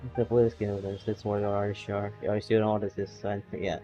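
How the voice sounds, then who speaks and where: A man's voice: on the low side, little pitch movement, fast talker, mellow, dull audio. A young man casually commenting while playing a game and reading its text aloud, rambling and playful. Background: game music.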